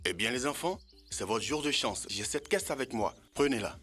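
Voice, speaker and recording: A man voicing a patient, genial animal teacher with a husky voice in a French children's cartoon. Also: medium pitch, animated, normal speed, fairly bright tone, soft background music. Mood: genial, instructive.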